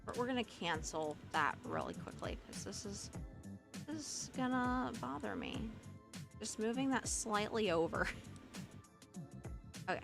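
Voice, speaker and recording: A woman's voice: mid-range voice, very animated, unhurried, gravelly, pauses often, music in the background. A woman with a mixed Southern and Midwestern accent, chatting casually as she walks through a livestream tutorial, often restarting her sentences. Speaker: Live2D rigging streamer.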